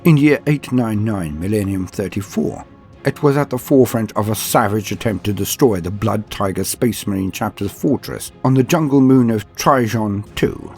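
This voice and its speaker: A man's voice: on the low side, moderate pace, darkish tone. A man reading scripted lore narration in a solemn, dramatic manner. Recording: music behind the voice. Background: background music.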